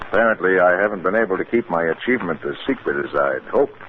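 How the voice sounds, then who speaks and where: Animated, professorial psychiatrist. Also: male voice, sits low, quick pace. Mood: grave, deliberate. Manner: professorial, explanatory. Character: cautious, scholarly male psychiatrist, proud and earnest. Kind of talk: 1950s radio drama acting. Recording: hissy narrow-band 1950s radio recording.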